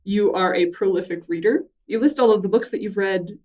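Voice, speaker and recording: A woman's voice: medium pitch, a little fast, a little mellow, distant mic, lo-fi sound. A woman hosting a podcast interview, asking curious questions and laughing often. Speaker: podcast host. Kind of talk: casual interview conversation.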